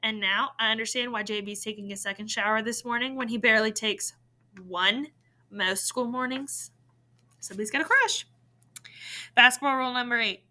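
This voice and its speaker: A woman reading a novel in verse aloud, in an expressive storytelling style. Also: fairly high pitch.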